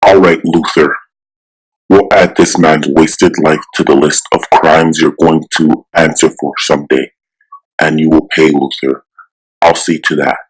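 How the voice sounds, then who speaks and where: A man voicing a stern, steadfast superhero with a firm, measured delivery in a comic-book drama. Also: a bass voice, fairly even intonation, low-quality recording. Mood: resolute. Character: stern, righteous male superhero, steadfast and unafraid.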